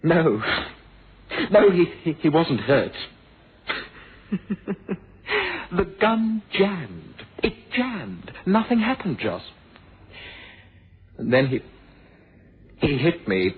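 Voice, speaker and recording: An actor playing an earnest, wounded young man in a suspense radio drama, speaking haltingly and repeating words. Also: fairly high pitch, very animated, crowd noise, heavily acted. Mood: agitated. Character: troubled, wounded young man with violent past. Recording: noisy phone-like vintage radio recording.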